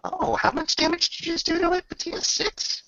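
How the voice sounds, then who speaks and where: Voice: very high-pitched, level tone, fairly quick, bright, forceful tone, dry recording. A game master running a casual tabletop roleplaying session in an informal, swearing way. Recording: muffled lo-fi with faint noise.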